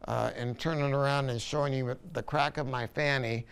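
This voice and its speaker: A man's voice: mid-range voice, fairly even intonation, normal speed, gravelly, fairly bright tone, clean tone. A man presenting a casual product review in a folksy, colloquial, emphatic salesman's way. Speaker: audio shop presenter reviewing a loudspeaker.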